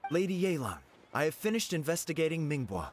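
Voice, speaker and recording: A man playing an earnest young agent in a fantasy video game, expressive and easily riled. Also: fairly high pitch, a little fast, soft background music. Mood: irritated.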